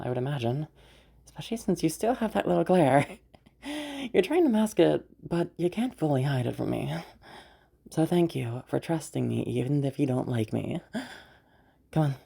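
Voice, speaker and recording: A young woman acting in an audio roleplay as a caring, teasing party host, talking in a rambling, hesitant, softly reassuring way. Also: low voice, gravelly, husky, exaggerated voice. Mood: gently teasing. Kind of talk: audio roleplay, naturalistic one-sided dialogue.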